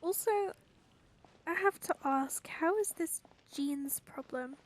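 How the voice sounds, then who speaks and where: High-voiced woman doing game commentary. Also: slow. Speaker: game streamer. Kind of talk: casual playthrough commentary. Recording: game music behind the voice.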